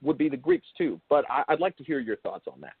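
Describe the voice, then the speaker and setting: Male host, husky voice, conversational interview style, dry thin phone-like sound. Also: mid-range voice, big pitch swings, fast, no room sound. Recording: dull phone-like call audio.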